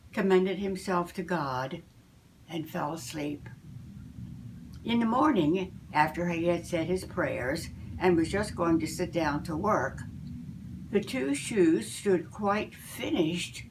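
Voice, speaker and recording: An older woman reading a fairy tale aloud as a storyteller, in a measured, deliberate way. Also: on the low side, background noise.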